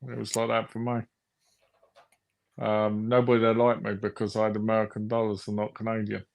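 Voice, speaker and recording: An easygoing man chats casually on a live stream. He tosses in quick reactions and tells small stories in a relaxed way. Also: fairly low pitch, vocal fry. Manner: easygoing, short reactions and anecdotes. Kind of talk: casual chat. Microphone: streaming call.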